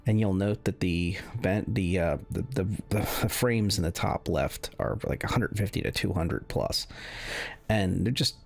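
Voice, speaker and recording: Male gaming creator, deep creaky voice, halting off-the-cuff explainer commentary, soft music bed. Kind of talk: casual unscripted benchmark commentary.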